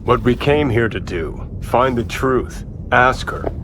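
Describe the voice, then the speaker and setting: A sardonic, world-weary rebel in a game drama. He is impatient and cynical, in a medium-pitched voice over clearly noisy ambience. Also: animated, takes it slowly. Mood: sardonic, pushy. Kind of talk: video game cutscene acting.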